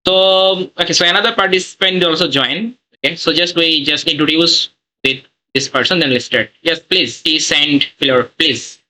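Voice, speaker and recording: A man instructor with a fairly high, very bright voice runs a live online class over a video call. He speaks in simple non-native English and keeps filling pauses with "okay" and "uh." The sound is a typical call-style recording. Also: low-quality recording. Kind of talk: live online training session.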